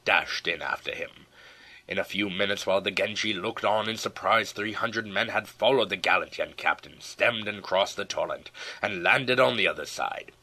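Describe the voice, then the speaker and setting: A man reading a historical tale as an audiobook narrator, in a theatrical, solemn storytelling manner with deliberate phrasing. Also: fairly low pitch, pushed and bright, rough recording.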